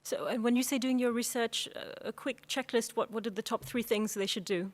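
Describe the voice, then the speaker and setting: Higher-voiced woman moderating a panel. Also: steady tone, a little fast. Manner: prompting, asks short follow-up questions.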